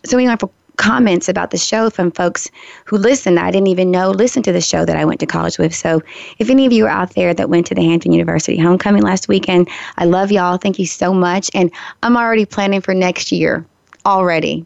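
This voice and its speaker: Woman radio host, hoarse and gravelly, rambling friendly chat, close dry lo-fi sound. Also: lowish voice, a little fast, no room sound. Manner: chatty, warm asides, frequent ums and restarts. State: hoarse, recovering from a lost voice. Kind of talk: casual solo talk to listeners. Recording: slightly dull lo-fi radio recording.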